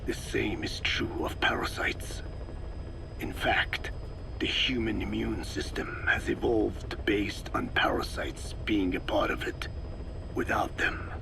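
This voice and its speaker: An actor playing a very old, wise Navajo scientist in a video game, solemn and lecturing, with a raspy, breathy croak. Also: male voice, on the low side, steady tone, in a whisper, exaggerated voice, low-quality recording. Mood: calm lecturing. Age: older. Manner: slow, deliberate, lecturing. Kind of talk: scripted dramatic game dialogue.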